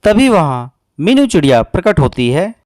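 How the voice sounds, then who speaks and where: A man's voice: fairly high pitch, big pitch swings, moderate pace, a little mellow, dry recording, studio quality. A man narrating a scripted children's cartoon story.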